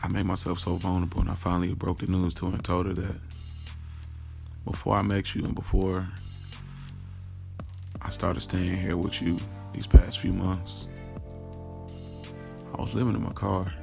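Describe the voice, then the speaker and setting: Audio drama narrator: candid, streetwise young man, slangy delivery, very creaky low voice over music. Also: little pitch movement, moderate pace, pauses often. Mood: vulnerable. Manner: colloquial, slangy storytelling. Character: streetwise young man, candidly confessing his love affair.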